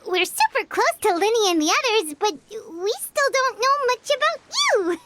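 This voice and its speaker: A woman voicing a small, childlike, excitable companion sprite in a video game, with a squeaky voice and a flustered manner. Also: a little hiss or hum, heavily acted. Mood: flustered, nervous. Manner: flustered, halting, refers to self by name. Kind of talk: animated video game voice acting. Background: music.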